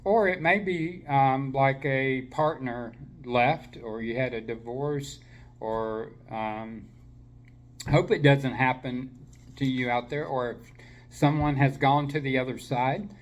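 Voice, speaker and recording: A man giving a slow, gentle spiritual talk to listeners while he has a slight cold, with many fillers and restarts. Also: mid-range voice. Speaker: spiritual teacher.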